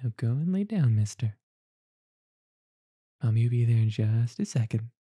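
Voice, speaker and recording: A woman doing an ASMR roleplay as a mother comforting her child, speaking in a soft, cooing, soothing way close to the mic. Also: a bass voice, lively intonation, moderate pace, husky, no room sound. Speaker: roleplay performer.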